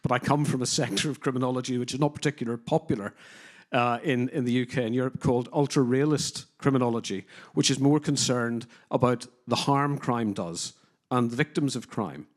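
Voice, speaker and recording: A man with a Northern Irish accent, speaking as a criminologist on a conference panel, forceful and opinionated with frequent fillers and restarts. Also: mid-range voice, fairly quick. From Northern Ireland.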